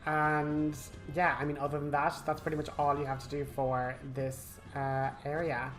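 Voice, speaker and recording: A man gives casual walkthrough commentary over game music. He is chatty and wry, in a voice of middling pitch for his range. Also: completely flat delivery, moderate pace, fairly bright tone. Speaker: gaming walkthrough host.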